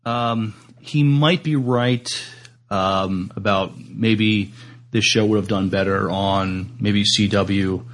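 A man co-hosting a podcast, chatting casually in a tentative, halting way. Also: fairly low pitch, steady tone. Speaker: podcast co-host. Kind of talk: casual chat.

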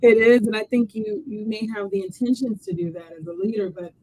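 A woman's voice: a little high, expressive, a little fast, creaky, darkish tone, slightly rough, no echo, poor audio. A woman from Texas hosting an interview, curious and asking long winding questions. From Texas, USA. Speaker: podcast host. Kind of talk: casual interview talk.

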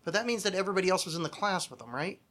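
Guy podcast host, kinda high sharp voice, thinks out loud and swears a lot. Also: animated, racing. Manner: hesitant, thinking aloud, self-critical, swearing freely. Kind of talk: casual chat, answering trivia questions.

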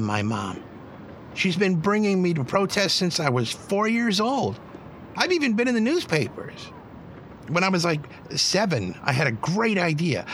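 A man's voice: high-pitched, a bit bright, slight background noise. A male actor playing a chatty, proud, idealistic eleven-year-old boy activist in an audio drama, talking informally. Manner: chatty, informal, youthful filler-laden talk.

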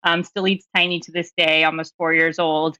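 Bright, forceful low-voiced woman, chatty. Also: flat delivery, moderate pace, no echo. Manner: chatty, rambling, punctuated by small chuckles. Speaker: startup founder, guest. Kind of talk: casual spoken self-introduction.

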